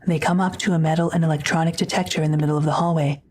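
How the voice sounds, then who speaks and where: A woman's voice: sits low, steady tone, racing, darkish tone, slight background noise. A woman reading scripted narration for an audio drama, describing the action as narrator.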